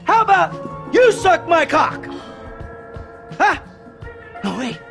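A middle-aged American criminal husband in a game cutscene, with music underneath. He is bitter and sarcastic, his pitch swinging wildly, with a gravelly creak in it. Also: sing-song, slow pace, exaggerated voice. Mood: angry, bitter. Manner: profane, sarcastic, explosive.

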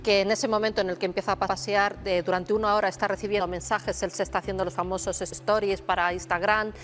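A woman panelist on a TV talk show, speaking at a middle pitch and insisting on her point. Her voice comes from broadcast audio with a faint hiss. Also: level tone, quick pace. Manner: insistent, recounts what she was told.

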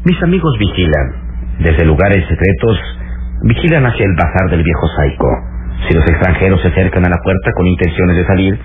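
An actor playing a sly, smug underworld informant, speaking with measured deference and sly relish in an old radio serial. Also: male voice, low voice, expressive, slight background noise. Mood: smug, menacing. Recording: tinny band-limited old radio serial, music.

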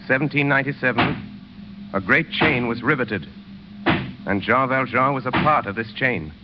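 A man narrating a 1930s radio drama as a grave storyteller, in solemn, measured, dramatic short phrases. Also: mid-range voice, lightly acted. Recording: dull, noisy 1930s radio recording.

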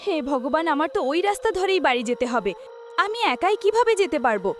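A woman's voice: high-pitched, big pitch swings, a little fast, a little hiss or hum. A woman playing a courteous, anxious young woman in a cartoon horror story, with a dramatic manner. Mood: worried. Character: concerned, apologetic, easily frightened young woman.

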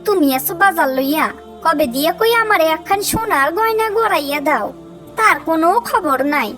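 A woman's voice: very high-pitched, animated, a little fast, music in the background. A woman voicing a nagging, demanding village wife in a cartoon, scolding insistently with a rural Bangladeshi accent. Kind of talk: cartoon voice acting.

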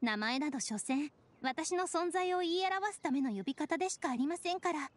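A woman's voice: high voice, animated, a little fast, dry recording. A woman voicing a serene young priestess strategist in an anime game, speaking in a formal, composed, polite way. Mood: composed, modest. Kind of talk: Japanese anime game voice acting.

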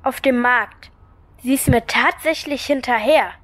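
A woman's voice: a little high, measured pace, a bit bright, dry recording. A woman playing a streetwise homeless girl in an audio drama, curt and dismissive.

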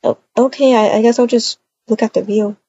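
A woman improvising roleplay dialogue for a video game in a hesitant, halting way with many hums. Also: fairly high pitch, fairly even intonation, dark tone, breathy edge, no room sound. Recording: dull muffled audio, faint noise.

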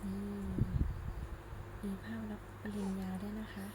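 A woman, a mother doing picture-book reading and gentle baby talk, coaxing with simple repeated questions. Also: medium pitch, monotone, slow. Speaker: mother reading a bedtime story to her baby. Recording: noisy home recording.

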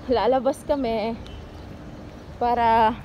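Filipino woman vlogging over clear background noise. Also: a little high, fairly even intonation, takes it slowly. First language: Filipino (Tagalog or Visayan). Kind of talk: casual walking vlog chat, code-switching. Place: outdoors on city streets and in a busy mall food court. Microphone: handheld phone. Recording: noisy outdoor street and mall ambience.

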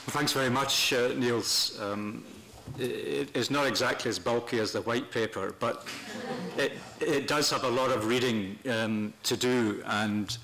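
Older guy talking at a meeting, bright firm voice, kinda halting, stops mid sentence a lot. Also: medium pitch. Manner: measured, halting, pausing mid-phrase. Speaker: panel speaker at a human rights meeting. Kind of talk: semi-formal unscripted presentation to a meeting. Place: parliament committee room, indoors. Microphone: desk gooseneck microphone.

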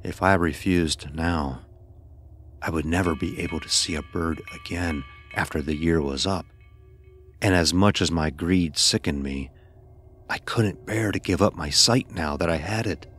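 A man narrating a scripted first-person horror story in a grave, deliberate storytelling manner. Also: sits low, a bit bright, soft background music.

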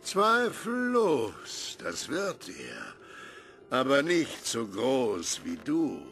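A man playing a condescending judge in a German audio drama, florid, pompous and drawn-out. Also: mid-range voice, huge pitch swings, gravelly, fairly bright tone, faint music behind, full character voice.

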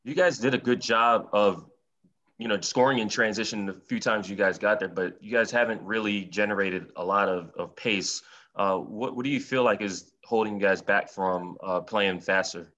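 A man's voice: on the low side, normal speed, bright, forceful tone, muffled recording. A man asking a reporter's question at a postgame press conference, winding through long questions with fillers.

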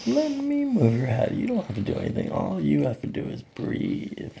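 Gravelly man, calm chiropractor. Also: on the high side, moderate pace, noisy background. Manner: calm, short soothing instructions. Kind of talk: hands-on treatment talk, ASMR. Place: small office treatment room. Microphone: microphone on a boom nearby.

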